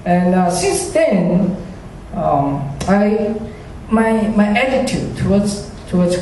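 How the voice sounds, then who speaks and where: A man with a high, mellow voice tells his faith story slowly, pausing often on fillers and chuckling at himself. He sounds distant from the microphone in a large, strongly echoing church hall. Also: lively intonation, slight background noise. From China. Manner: hesitant, many fillers, chuckles often, self-deprecating. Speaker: speaker giving a personal faith testimony. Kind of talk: church testimony to a congregation. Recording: echoey hall, speaker far from mic.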